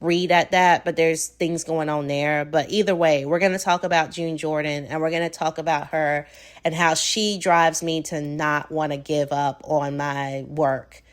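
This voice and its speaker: A woman with a low voice and a Southern accent hosts her own podcast alone. She muses in a loose, unhurried way, with plenty of "like" and "um". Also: steady tone. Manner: casual, reflective, frequent "like" and "um" fillers. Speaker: podcast host. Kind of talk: solo podcast monologue.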